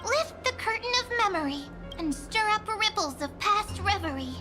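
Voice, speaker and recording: A woman's voice: animated, moderate pace, music in the background. A woman voicing a cute, mysterious and playful sprite companion in an anime game, with a girlish, affectionate manner. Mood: playful, urgent. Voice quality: girlish, very high voice.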